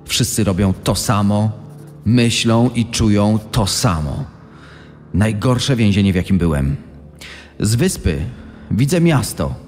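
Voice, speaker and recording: Man playing a slow, weary imprisoned gangster. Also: on the low side, expressive, darkish tone. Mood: weary, bleak. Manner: slow, brooding, heavy pauses. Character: weary male imprisoned gangster reading his letter home. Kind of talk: dramatised documentary reading. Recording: long hall reverb, music underneath.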